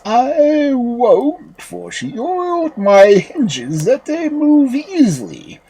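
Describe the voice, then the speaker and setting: A woman's voice: sits high, very animated, slow pace, mellow, voice pushed up, full character voice. A woman voicing a stubborn, defiant enchanted door in a storybook, reproachful in manner. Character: defiant talking creaky door, refusing the witch.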